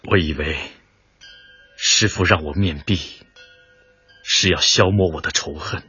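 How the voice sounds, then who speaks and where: Man acting a very husky, brooding avenger. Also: low-pitched, sharp tone, muffled recording, faint music behind, exaggerated voice. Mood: brooding, tormented. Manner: slow, intense, deliberate. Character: brooding avenging son, martial artist, grim, resolute. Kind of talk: radio drama acting.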